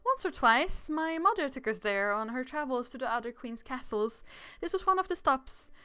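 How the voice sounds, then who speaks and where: A woman's voice: high-pitched, lively intonation, normal speed, pushed and bright, smooth. A woman reading aloud the lines of an earnest young runaway royal in a fairy-tale visual novel. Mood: light, eager.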